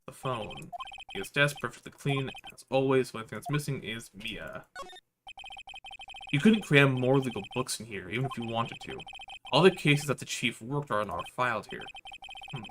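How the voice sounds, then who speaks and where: An earnest young defense lawyer read out by a man in a visual novel game, doggedly inquisitive. The voice is male and mid-pitched, with faint noise in the background. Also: expressive, normal speed, natural voice. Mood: musing.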